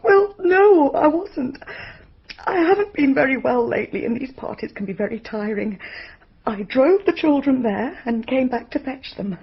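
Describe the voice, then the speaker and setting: On a dull radio drama recording, a very breathy, highish-voiced middle-aged woman. She is a genteel, bereaved mother, anxious and hesitant as she speaks. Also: animated, moderate pace, full character voice. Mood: bewildered. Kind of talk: radio mystery drama acting.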